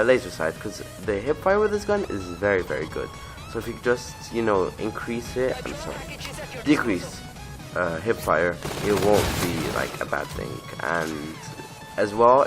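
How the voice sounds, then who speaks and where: A man with a cold, giving casual, rambling gameplay commentary from his bedroom and often correcting himself. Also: deep voice. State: voice rough from a lasting cold. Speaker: gaming channel host. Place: bedroom at home.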